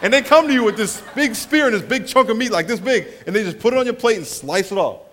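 A man's voice: high voice, fast. A man preaching a sermon, telling comic travel stories, acting out voices and laughing along. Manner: animated storyteller, acts out voices, laughs often. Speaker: pastor.

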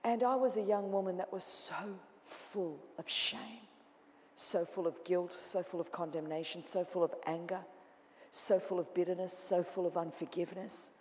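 A woman's voice: fairly low pitch, fairly even intonation, normal speed, a little hiss or hum. Woman preaching in a reverberant hall. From Australia. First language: Greek. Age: 40s. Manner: dramatic, swings from loud mimicking to hushed intensity. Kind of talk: sermon. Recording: long reverb of a large hall.

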